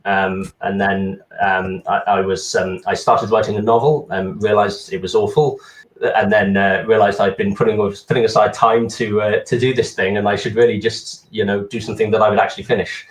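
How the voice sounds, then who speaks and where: A man's voice: on the low side, little pitch movement, moderate pace, roomy sound, far from the mic, low-quality recording. A man answering interview questions casually, hesitant with many ums and restarts. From Wales. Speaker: interview guest, translator.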